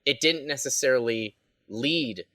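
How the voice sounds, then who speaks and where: A man's voice: medium pitch, unhurried, a bit bright, no echo. Man chatting emphatically, dry close sound. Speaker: audio editor on the production. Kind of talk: casual, animated panel chat.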